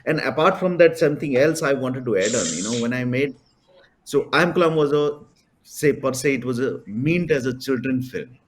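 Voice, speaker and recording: A man's voice: medium pitch, lively intonation, normal speed, slight background noise. A man from India, a filmmaker on a panel, explaining his views in an earnest way. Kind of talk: panel discussion.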